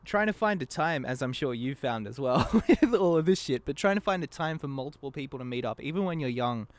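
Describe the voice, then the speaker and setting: A man's voice: mid-range voice, lively intonation, brisk, no room sound. A young man chatting casually as a podcast guest, giggly and self-deprecating. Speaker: podcast guest, amateur voice actor. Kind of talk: casual chat.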